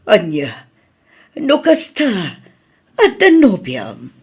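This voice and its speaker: A woman's voice: medium pitch, huge pitch swings, extremely slow, breathy edge, exaggerated voice. A woman acting in an Ilocano audio drama as a concerned, motherly boss, with an Ilocano accent.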